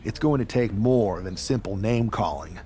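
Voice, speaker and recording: A man's voice: fairly low pitch, animated, moderate pace, mellow, lightly acted. A man playing a skeptical, easily amused wrestling team leader in a game cutscene, talking in a wry, conversational way. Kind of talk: scripted game cutscene acting.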